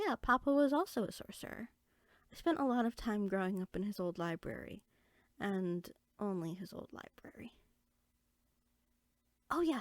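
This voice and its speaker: A woman playing an inquisitive, friendly young fairy sorcerer in a conversational roleplay audio drama, chatty and eager with soft asides. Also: medium pitch, no echo.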